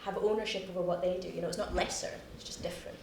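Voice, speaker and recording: A woman's voice: lowish voice, steady tone, very fast, gravelly, a little mellow. Scottish playwright, young woman, chatty and self-mocking, heard from afar over a noisy room. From Scotland. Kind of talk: post-show discussion. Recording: echoey room, distant mic, noisy.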